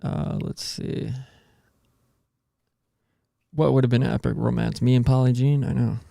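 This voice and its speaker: A man's voice: medium pitch, fairly even intonation, a little slow, creaky, warm tone, no room sound, studio quality. A man hosting a casual podcast, chatting and bantering in slangy speech with plenty of "dude". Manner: slangy, says "dude" often. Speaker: podcast host. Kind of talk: casual banter about music with co-hosts.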